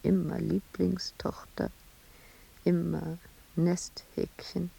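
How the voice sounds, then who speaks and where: A woman's voice: on the low side, little pitch movement, slow pace, creaky, warm tone, breathy edge, exaggerated voice, low-quality recording. An actress playing an embittered, intense woman sculptor confined in an asylum, in a radio drama monologue. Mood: brooding, bitter.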